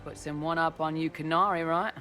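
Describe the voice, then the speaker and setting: An actor playing a loyal young mercenary lieutenant in a video game, with a dry, cheeky manner. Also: male voice, on the high side, steady tone, brisk, sharp tone, faint music behind. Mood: dry teasing. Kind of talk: video game character dialogue.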